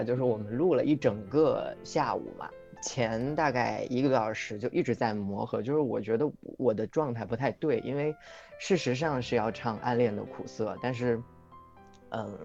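A man's voice: mid-range voice, moderate pace, vocal fry, darkish tone. A man, a singer appearing as a guest, chatting casually on a livestream and explaining things in reflective detail. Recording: dull lo-fi audio, music behind. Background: background music.

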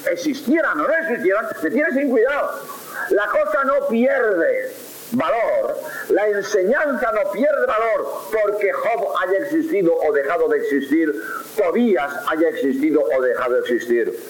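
Man lecturing on faith, high voice, swooping intonation, roomy hissy old recording. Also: a little slow. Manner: rhetorical questions answered emphatically, animated. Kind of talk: lively catechetical lecture.

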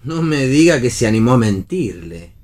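A man's voice: medium pitch, very animated, normal speed, a little mellow, natural voice. An actor playing a sardonic, provoking man in radio theatre.

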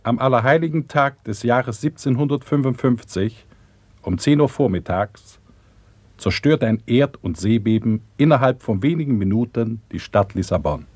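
A man reading a scripted historical narration in a sober, factual and measured manner. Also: medium pitch, a little mellow, slightly rough, no room sound.

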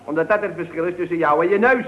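A man playing a cheeky, deadpan, hair-splitting nephew in a radio comedy around 1970. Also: high voice, very fast, close to an everyday voice. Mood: deadpan, contrary. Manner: deadpan, contrary, quibbling. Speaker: actor in a radio comedy serial. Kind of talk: 1970s Dutch radio comedy acting. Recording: dull vintage radio recording.

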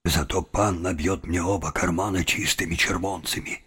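Husky, muttering seminary philosopher. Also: male voice, deep voice, steady tone, normal speed, bright, forceful tone, full character voice. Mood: sly, calculating. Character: young seminary philosopher, sly, greedy, fearful, muttering inwardly. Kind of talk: literary radio drama inner thoughts. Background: music.

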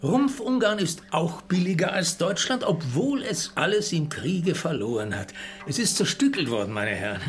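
An actor playing an indignant, argumentative bearded patriot in a German radio drama. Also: male voice, a little high, very animated, normal speed. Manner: emphatic, argumentative.

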